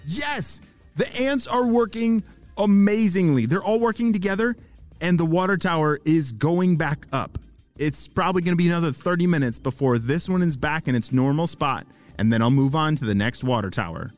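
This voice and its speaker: A man's voice: medium pitch, fast talker, hardly any pauses, faint music behind, lightly acted. A man voicing an eager, earnest young girl spy with inventive plans in a children's audio story. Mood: excited.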